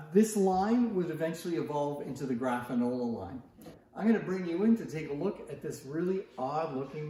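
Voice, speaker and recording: A man giving a casual, unscripted show-and-tell in a conversational way, musing aloud as he presents antiques. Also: medium pitch. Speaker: presenter of antique phonograph demonstrations. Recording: very echoey, reverberant room.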